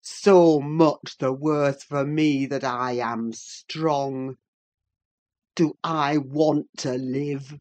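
A woman reader voicing a young man wild with grief, speaking in a harsh, accusing way that rises into shouts. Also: a bass voice, fairly even intonation, very slow, exaggerated voice. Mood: anguished.

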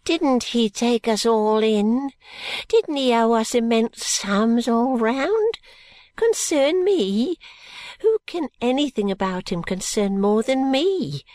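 A woman acts out a spiteful old man of money in a storybook tale. The voice swoops up and down, slow and breathless, sliding from fawning endearments to sour curses. It is recorded very dry. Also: a little high, sing-song, darkish tone, no room sound. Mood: insistent.

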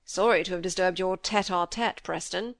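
A woman's voice: on the low side, moderate pace, no room sound, close to an everyday voice. Lady reader doing an old country gent, knowing and jovial, teasing storybook voice, dry sound. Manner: hearty, knowing. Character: shrewd, ruddy old gentleman, knowing and jovial. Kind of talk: acted audiobook dialogue.

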